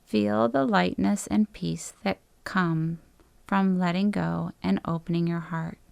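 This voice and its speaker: A woman guides a meditation in a calm, gentle voice that sits somewhat low. She speaks very slowly, with soft endings and long pauses between phrases. The sound is close and dry, with no room around it. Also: gravelly, smooth, no room sound.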